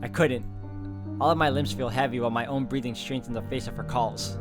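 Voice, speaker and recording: A male let's player with a higher-than-deep, middle-range voice reads story text aloud from a game. Game music plays clearly behind him, and he drops in personal asides. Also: fairly even intonation, a little fast. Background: background game music.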